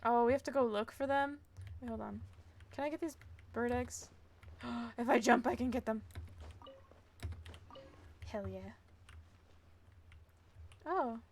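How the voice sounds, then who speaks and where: A woman's voice: fairly high pitch, slow, long pauses, a little hiss or hum. Giggly young woman streamer. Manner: rambling, giggly, swears casually. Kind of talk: casual gameplay commentary.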